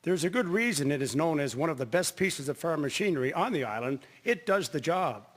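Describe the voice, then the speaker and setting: A man's voice: fairly high pitch, lively intonation, fairly quick, fairly bright tone. A man playing a confident farmer giving earnest, helpful advice in a period radio drama. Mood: assured. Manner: earnest, assured.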